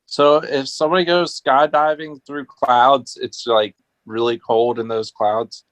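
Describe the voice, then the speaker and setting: A man's voice: medium pitch, level tone, measured pace, a bit bright, dry recording, poor audio. A man taking part in a casual talk show chat, asking questions.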